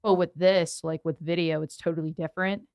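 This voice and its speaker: A woman's voice: fairly low pitch, fairly even intonation, brisk, vocal fry, a little mellow, clean tone, dry recording, studio quality. A woman co-hosting a casual podcast, nasal, chatty and giggly as she explains audio gear. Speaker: co-host and audio engineer. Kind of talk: casual studio podcast chat.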